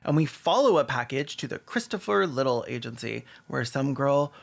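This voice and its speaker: A man's voice: medium pitch, lively intonation, moderate pace, vocal fry. A man narrating scripted comedic commentary in a wry, sarcastic manner. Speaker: video essay narrator.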